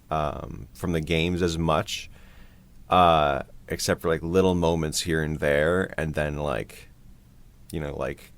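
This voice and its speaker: A man chatting casually about his creative work as a composer, in a halting, hesitant way. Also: rumbling low. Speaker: composer for an audio drama.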